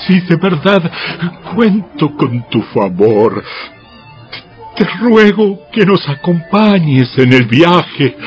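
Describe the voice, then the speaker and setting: A man playing a humble prophet praying in a dramatized audio Bible, reverent and imploring, with drawn-out phrases. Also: medium pitch. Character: Moses, humble pleading male prophet at prayer. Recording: dull lo-fi sound, faint music.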